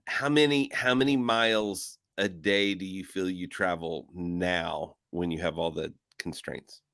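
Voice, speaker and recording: A man hosting a casual overlanding talk show, joking and energetic, circling back and repeating himself as he puts questions to his co-host. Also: low voice, takes it slowly, vocal fry, dry recording, studio quality. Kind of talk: casual podcast chat.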